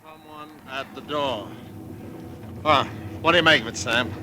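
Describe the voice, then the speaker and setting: An actor playing an uneasy, sheepish rental agent in a 1940s radio drama, talking earnestly with nervous chuckles. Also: male voice, mid-range voice, measured pace, sharp tone, slight background noise.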